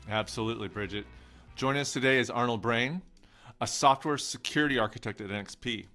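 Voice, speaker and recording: A man's voice: mid-range voice, fairly quick, sharp tone, soft background music. A man co-hosting a tech podcast, upbeat and friendly as he introduces and questions a guest. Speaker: podcast co-host.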